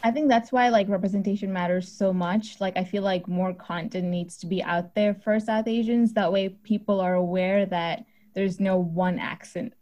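A woman's voice: medium pitch, steady tone, moderate pace, hardly any pauses, darkish tone, highs cut off. A woman co-hosting a podcast, chatty and casual, saying "like" a lot. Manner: chatty, says "like" often.

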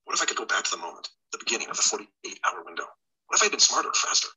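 A haunted cold-case detective, acted by a man narrating his own audiobook story with grim intensity. He is very husky and low, with a hard, bright edge and a brisk clip. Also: big pitch swings, exaggerated voice, low-quality recording. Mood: haunted, brooding. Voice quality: husky, raspy. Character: haunted, world-weary male cold-case detective, first person.

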